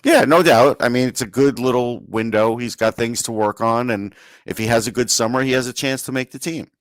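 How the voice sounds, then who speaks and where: A man's voice: medium pitch, a little fast, no echo. A man co-hosting a sports podcast in a casual conversational style.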